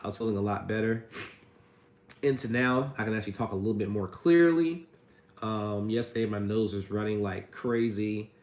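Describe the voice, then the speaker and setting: A man with a hoarse, raspy voice from a cold, hosting a casual livestream and answering viewer questions about credit repair. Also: lowish voice, moderate pace, darkish tone, reverberant. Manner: reads viewer comments aloud, chatty and loose.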